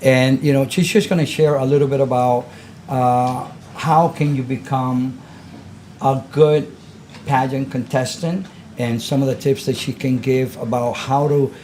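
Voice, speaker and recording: A man's voice: medium pitch, steady tone, a little slow, darkish tone, slight background noise. A man hosting an interview and introducing his guest in a casual, friendly way. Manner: friendly, casual, many fillers. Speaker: host.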